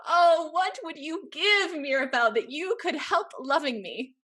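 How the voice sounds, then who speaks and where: An actress playing a capricious, teasing young society heiress in a Restoration stage comedy, with a haughty, playful, theatrical delivery. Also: female voice, sits high, lively intonation, unhurried, bright, forceful tone, full character voice.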